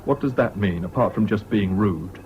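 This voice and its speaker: An actor playing a sober British army major who also narrates a 1970s radio drama, frank and dry in manner. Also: male voice, on the low side, moderate pace, natural voice. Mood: reproachful. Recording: dull, noisy old radio recording.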